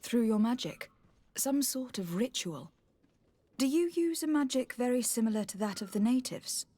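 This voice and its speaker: An actress playing a composed, diplomatic noblewoman investigator in a fantasy video game, speaking in a formal, measured, probing way. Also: fairly high pitch, lively intonation, mellow, no echo.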